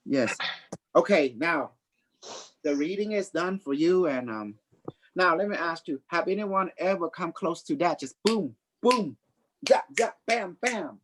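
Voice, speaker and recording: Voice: medium pitch, lively intonation, measured pace, a bit bright, rough recording. A psychic reader hosting a casual livestream, boastful and playful, rambling in non-standard English grammar. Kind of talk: casual improvised psychic reading chat.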